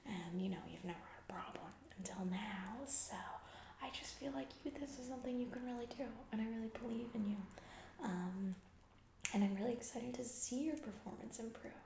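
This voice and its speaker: A woman's voice: fairly high pitch, fairly quick, vocal fry, mellow, echoey room, a little hiss or hum. A woman playing a distracted, callous corporate CEO in a comedy ASMR roleplay, rambling breezily in corporate-speak and trailing off. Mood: patronizing.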